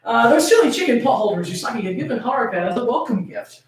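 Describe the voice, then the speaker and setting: Echoey, distant woman with a higher-pitched voice. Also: very animated, fast talker, a crowd in the background. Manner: playful, sweary, teasing. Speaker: host reading fan fiction aloud to chat. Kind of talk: casual banter and dramatic fanfic reading. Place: indoors, echoey room, camera on tripod. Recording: very echoey room, distant from mic.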